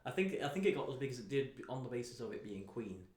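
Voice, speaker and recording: A man's voice: mid-range voice, sing-song, fast talker, darkish tone. Chatty man in an echoey room. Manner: chatty, laughs often, rambling restarts. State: slightly hoarse from tonsillitis. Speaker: podcast co-host. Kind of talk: casual chat.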